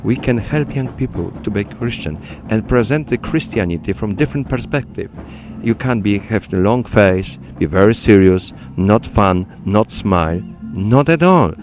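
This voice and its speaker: Earnest man, halting English. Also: on the low side, lively intonation, over music. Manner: earnest, halting non-native phrasing. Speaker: campsite manager. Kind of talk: interview answer.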